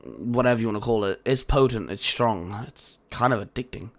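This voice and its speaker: A man's voice: fairly low pitch, normal speed, gravelly. A man voicing a shrewd, casual hero in an audiobook, talking in an offhand, colloquial and slightly hesitant way. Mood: shrugging. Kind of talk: audiobook character acting.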